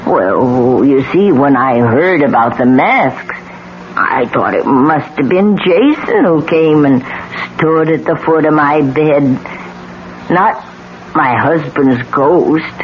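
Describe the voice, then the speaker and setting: Weary haunted widow, slow and dramatic, dull old radio sound. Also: female voice, low-pitched, lively intonation. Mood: weary, uneasy. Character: weary, haunted widow recalling her husband's ghost. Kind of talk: 1970s radio drama acting. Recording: muffled, faintly noisy 1970s radio recording.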